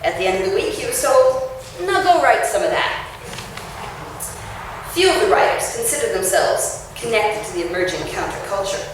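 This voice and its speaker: A woman author giving an expressive, emphatic public reading of a written essay at an event, with clear phrasing. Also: mid-range voice, normal speed. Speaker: author reading her essay at a book launch. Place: indoor launch-party event space. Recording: roomy echoing event space, distant mic, faint noise.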